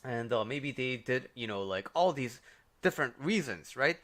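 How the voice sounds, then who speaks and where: A man's voice: medium pitch, animated, normal speed, a bit bright. A man with a Korean accent, a life coach giving casual, conversational advice on a livestream, with many fillers and "right?" tags.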